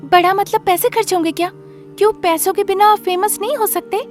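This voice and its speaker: A woman voicing a fame-hungry young daughter-in-law, excitable and wheedling, in a story. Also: very high voice, a little fast, faint music behind, pitched above the performer's own voice. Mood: whiny, alarmed. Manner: whiny, exclaiming, wheedling.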